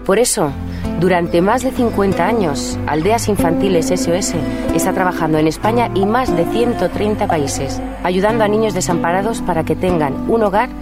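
A woman's voice: on the low side, moderate pace. A woman reading a scripted charity appeal in an earnest tone. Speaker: charity ad spokesperson. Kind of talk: scripted ad read. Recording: ad with backing music.

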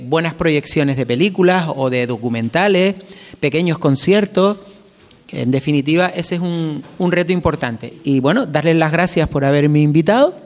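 A man explaining plans in a measured, formal way as an interview guest. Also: a little high, long reverb tail.

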